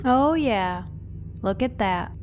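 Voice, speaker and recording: A woman's voice: on the high side, lively intonation, slow, a little mellow, soft background music. A woman playing an exasperated, sarcastic mercenary pilot with a blunt manner. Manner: blunt, sardonic.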